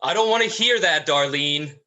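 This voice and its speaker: Man as a stressed, bright-voiced eldest brother. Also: on the high side, animated. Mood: weary exasperation. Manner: exasperated, halting, pleading. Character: stressed, parental eldest brother in horror play. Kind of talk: dramatized play script reading.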